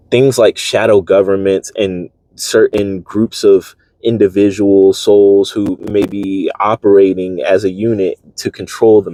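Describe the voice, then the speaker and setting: A man reading and reflecting on spiritual ideas in an earnest, halting manner, often posing rhetorical questions. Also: fairly low pitch, dark tone, no echo, poor audio. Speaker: reader and commentator.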